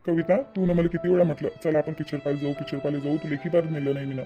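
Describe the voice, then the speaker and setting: A man's voice: on the high side, flat delivery, very fast, soft background music, close to an everyday voice. A man voicing a moody, lovesick young husband in a cartoon, reluctant and repetitive. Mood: regretful. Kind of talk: homemade cartoon voice acting. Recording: muffled lo-fi with loud noise.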